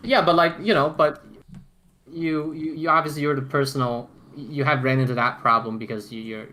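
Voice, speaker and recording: Bright-voiced man in casual discussion. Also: fairly high pitch, steady tone, normal speed, poor audio. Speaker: podcast roundtable panelist. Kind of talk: casual roundtable chat.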